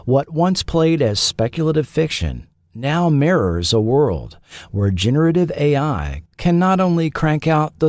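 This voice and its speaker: A man delivers scripted documentary narration as host. His voice sits at a middle pitch with lively inflection, and each phrase is measured and articulate. The recording is close and dry. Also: no room sound.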